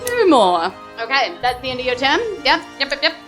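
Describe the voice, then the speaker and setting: A woman's voice: high-pitched, sing-song, fairly quick. A woman running a live tabletop game as game master, bantering playfully. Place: live on stage in a club. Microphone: stage microphone. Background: music.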